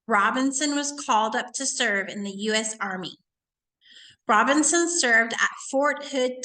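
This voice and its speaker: A woman's voice: a little high, pushed and bright, rough recording. A woman teacher reading aloud to children, stopping to ask questions and explain things in a didactic way. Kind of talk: read-aloud lesson for children with asides and questions.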